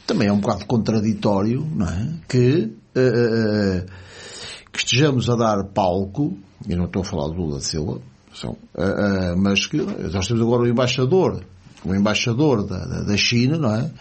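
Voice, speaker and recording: A man's voice: fairly low pitch, expressive, normal speed, creaky, husky. A man with a European Portuguese accent in casual political chat, rambling with many fillers and restarts in an ironic tone.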